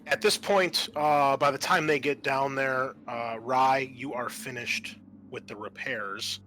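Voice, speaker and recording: A man narrating a tabletop roleplaying game as game master, pausing often mid-sentence. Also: mid-range voice, fairly even intonation, sharp tone, slight background noise.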